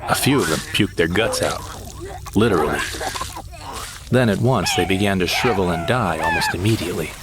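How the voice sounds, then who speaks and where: A man voicing a male sidekick who narrates his own space adventure in a measured storytelling way. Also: sits low, wildly swooping pitch, heavy background noise. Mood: wry. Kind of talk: scripted audio drama narration. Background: background music.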